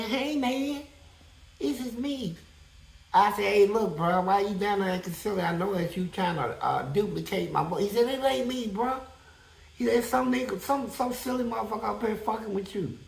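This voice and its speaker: A man with a high-pitched voice telling a prison story in a blunt, profane, animated way as an interview guest. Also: vocal fry, echoey room, rough recording.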